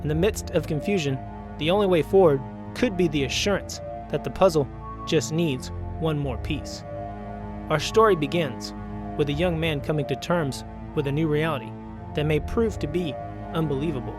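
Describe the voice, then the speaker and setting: A man introducing a radio theater story as its host, measured and ominous. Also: a little high, music in the background. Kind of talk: scripted introduction.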